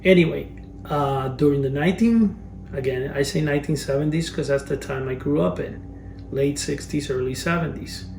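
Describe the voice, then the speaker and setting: A man's voice: on the high side, moderate pace, gravelly, a little mellow, reverberant, distant mic, slight background noise. A Cuban-born man in his fifties chatting casually as he reviews old collectibles, rambling and restarting his sentences. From Cuba. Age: 50s. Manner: rambling, restarts phrases, chuckles at his memories. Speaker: collector reviewing vintage items. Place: cluttered storage room.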